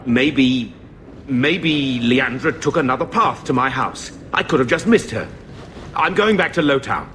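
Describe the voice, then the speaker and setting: Anxious, slow-spoken, stubborn man. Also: mid-range voice, animated, fairly bright tone, slight background noise. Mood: worried denial. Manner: worried, insistent. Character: anxious, stubborn male relative in fantasy game. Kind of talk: dramatic game acting.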